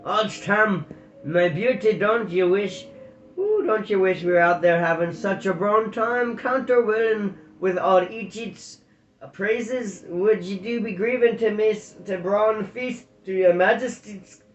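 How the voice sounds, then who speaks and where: Man playing a stocky Highland squirrel rogue, loud and hearty, in an echoey lo-fi room. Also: measured pace, slight background noise. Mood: jeering. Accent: Scottish Highland. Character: short stocky rough male squirrel warrior. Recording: echoey room, dull lo-fi sound.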